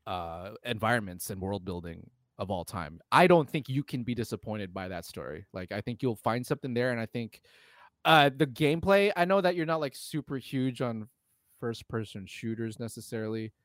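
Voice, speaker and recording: A man with a fairly ordinary mid-pitched voice co-hosts a casual podcast. He talks with emphatic enthusiasm, leaning on slang and the odd swear word. The recording is dry, with hardly any room sound. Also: lively intonation, moderate pace, no echo. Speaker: podcast co-host. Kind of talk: casual conversational film and game chat.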